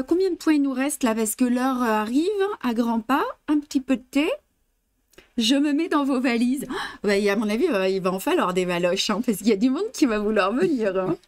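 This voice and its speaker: High-voiced French embroidery teacher. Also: female voice, a little mellow. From France. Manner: chatty, laughs often, mixes in English and Spanish. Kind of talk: live-streamed stitching lesson. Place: indoor studio, blue backdrop.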